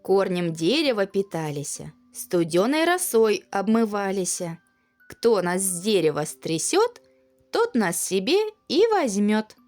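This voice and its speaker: A woman's voice: medium pitch, big pitch swings, unhurried, darkish tone. Storybook role: inviting magical apples, voiced by a woman, rhythmic and lilting, faint music behind. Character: ripe talking apples, inviting, chanting in rhyme.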